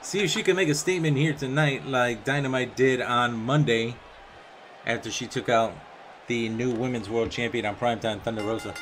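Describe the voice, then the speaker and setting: A young man calls a video game wrestling match from his home mic, moving between laid-back asides and quick, excited play-by-play. His voice sits in a middle register and jumps up when the action heats up. Loud arena music and crowd noise from the game play underneath him. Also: mid-range voice. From Puerto Rican background. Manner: casual, chatty asides between calls, excited on big moves. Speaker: wrestling commentator. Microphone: home microphone, voice-over on game footage.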